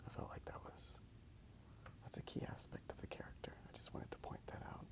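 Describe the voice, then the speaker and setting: A man rambling softly and hesitantly in a hushed ASMR style, recorded outdoors on a handheld phone. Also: fairly quick. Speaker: host of a drawing ASMR video. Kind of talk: soft-spoken ASMR rambling. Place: outdoors in a park.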